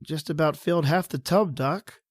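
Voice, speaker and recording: A woman voices a jittery male lab assistant from a vintage radio horror play, stammering and begging in a low voice. The recording is dry and close, with no room sound. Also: moderate pace, no echo. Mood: frightened. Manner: stammering, pleading. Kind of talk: old-time radio horror drama acting.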